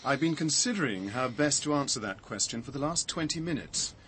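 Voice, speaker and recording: An actor in a radio drama playing a wry, shaken latecomer with a dry, measured, wordy delivery. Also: male voice, mid-range voice, expressive, a bit bright, close to an everyday voice. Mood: wry, grim. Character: wry, shaken latecomer, dryly theatrical gentleman. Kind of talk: BBC radio drama dialogue. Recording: noisy radio drama recording.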